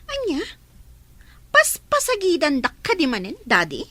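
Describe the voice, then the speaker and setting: A woman's voice: high voice, huge pitch swings, unhurried, bright, forceful tone, no echo, full character voice. A woman playing a spoiled, hot-tempered teenage daughter in a radio drama, whiny, petulant and argumentative. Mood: defiant, upset.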